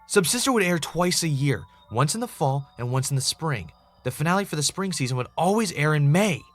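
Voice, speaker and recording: A man's voice: on the high side, expressive, fairly quick. Man playing an earnest obsessive fan investigator, music underneath. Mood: uneasy. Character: obsessive, anxious male fan investigating a cancelled show. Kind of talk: fiction podcast narration, conversational.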